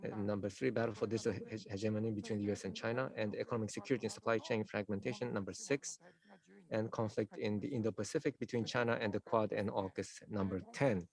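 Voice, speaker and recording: A man presenting survey results at a conference in a halting, formal way, full of hesitations. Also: fairly low pitch, steady tone, gravelly. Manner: hesitant, heavy use of filler sounds.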